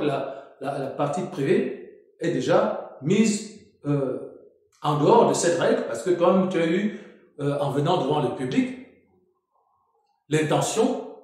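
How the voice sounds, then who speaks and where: A man's voice: on the high side, a little mellow. Cameroon-born preacher guy, fired up and arguing, lots of echo. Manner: indignant, argumentative, emphatic. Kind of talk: sermon rebuttal. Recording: strong echo.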